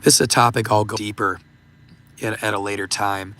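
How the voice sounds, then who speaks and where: A man hosting a livestream, talking casually and haltingly with many fillers, his voice going hoarse and giving out. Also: on the low side, level tone, pushed and bright, a little hiss or hum. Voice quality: gravelly, voice giving out. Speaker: livestream host. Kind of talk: casual livestream talk reading super chats.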